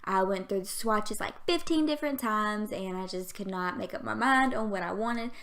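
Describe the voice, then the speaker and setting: A woman's voice: mid-range voice, moderate pace, fairly bright tone. A woman in her twenties with a Southern US accent and a youthful, girlish voice, chatting casually and rambling over a game build, often giggling and stumbling over her words. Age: 20s. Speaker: YouTube gaming creator.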